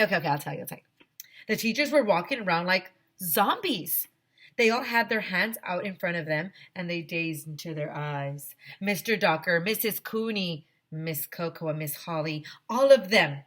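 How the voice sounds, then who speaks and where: A woman teacher reading a children's story aloud expressively to her students, with animated emphasis and direct asides to the children. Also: fairly low pitch, moderate pace.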